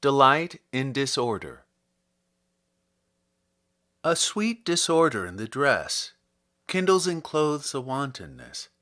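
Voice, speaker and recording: Slow, expressive man reciting poetry. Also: mid-range voice. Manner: theatrical, deliberate, expressive. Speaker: podcast host and poetry reader.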